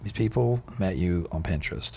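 A middle-aged man hosting a podcast in a studio, talking into a boom mic as a blunt, animated storyteller in casual chat. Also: a little fast, vocal fry, breathy edge. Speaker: podcast host. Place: podcast studio with wooden bar shelves. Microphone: boom mic and headphones.

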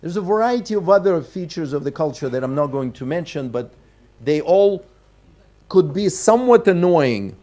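A man's voice: on the high side, dark tone. Middle-aged man giving a keynote lecture. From Russia. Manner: explanatory, with frequent restarts and repeated words. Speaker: keynote speaker. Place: large conference hall, at a lectern. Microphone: lectern microphone.

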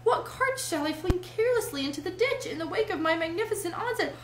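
Storybook Toad read by a woman: entranced gentleman toad, high, swooping murmurs of bliss, roomy sound. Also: big pitch swings, moderate pace, slight background noise. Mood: dreamy, exalted. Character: dreamy, rapturous male toad obsessed with motor cars.

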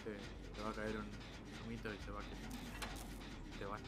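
A man with an Argentine Spanish accent, chatting casually with a fellow player while gaming, slangy and halting when he explains. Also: lowish voice, completely flat delivery. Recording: noisy game voice chat.

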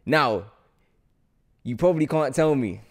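A man's voice: mid-range voice, expressive, measured pace. A man in his twenties hosting a casual podcast interview, informal and enthusiastic, close on a studio microphone. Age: 20s. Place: indoor studio room at night. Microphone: podcast microphone on boom arm, close.

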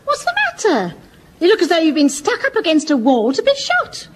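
Gushing giggly matron, very high, sing-song. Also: female voice, wildly swooping pitch, fairly quick, slight background noise, exaggerated voice, low-quality recording. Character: gushing middle-aged woman, a mother. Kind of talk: 1970s radio comedy acting.

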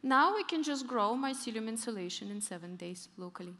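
A young woman speaks from a big conference stage through a headset mic, her voice a little high and ringing through a long hall reverb. She tells her stories with lively emphasis and presses firmly on her key points. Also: brisk. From northern Europe, Arctic region. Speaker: keynote speaker. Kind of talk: conference keynote talk.

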